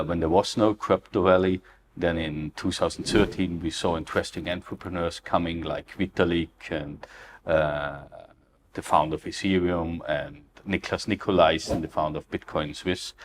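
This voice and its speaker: A man's voice: deep voice, a little hiss or hum. A middle-aged Austrian man with an Austrian German accent, a founder answering interview questions earnestly, with frequent fillers and non-native phrasing. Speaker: crypto ecosystem founder, guest.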